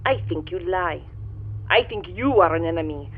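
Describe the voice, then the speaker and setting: A woman's voice: fairly low pitch, lively intonation, normal speed, narrow, tinny audio. A woman playing a proud, commanding, impatient ancient Martian in an audio drama, formal and imperious, heard through a communication-screen effect. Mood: suspicious. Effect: voice through a radio-like communication screen. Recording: thin radio-like band-limited sound.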